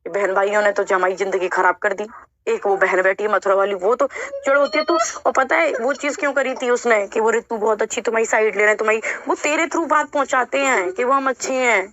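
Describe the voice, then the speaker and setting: A woman's voice: fairly high pitch, a little fast, a bit bright, dry recording. A woman speaking emotionally and insistently in a heated personal phone conversation, sounding aggrieved. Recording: dull, lo-fi recorded phone call.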